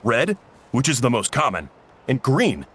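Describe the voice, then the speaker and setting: An actor playing a genial village cook and uncle in a video game, explaining things a little theatrically. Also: male voice, mid-range voice, wildly swooping pitch, a little slow, a bit bright, husky, exaggerated voice. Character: genial adult male village cook, uncle, slightly dramatic. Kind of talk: English video game voice acting.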